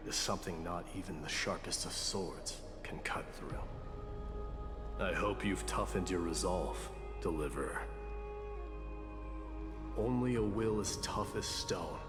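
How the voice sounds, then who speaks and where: An actor playing a stern, solemn warrior of iron will in a video game, speaking gravely and deliberately. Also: male voice, low-pitched. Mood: grave, challenging. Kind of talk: dramatic video game voice acting. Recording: echoing hall reverb, music underneath. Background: loud music.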